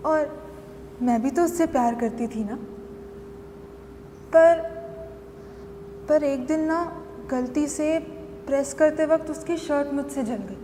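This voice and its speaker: Casting: an unhinged battered wife confessing in a dramatic monologue; very high voice, slow halting delivery, repetitive and pleading. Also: female voice, a little mellow. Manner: halting, repeats phrases, pleading. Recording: long echoing hall reverb, faint noise.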